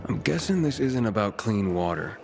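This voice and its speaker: A man acting a level-headed, guarded wanderer hero in a video game cutscene, speaking calmly and drily. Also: medium pitch, moderate pace, gravelly. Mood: dry, resigned. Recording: music behind the voice.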